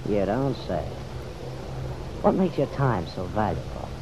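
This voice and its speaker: Wry radio cop, lively intonation, noisy recording. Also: male voice, fairly low pitch. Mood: wry, probing. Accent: American. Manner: slangy, unhurried, needling. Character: wry, persistent, easygoing male police detective. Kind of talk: old-time radio drama acting.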